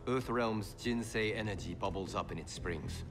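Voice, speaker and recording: A dutiful young warrior monk from a video game, played by a man. His voice is deep, his delivery grave and formal. Music is clearly heard behind him. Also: moderate pace, close to an everyday voice. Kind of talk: video game cutscene acting.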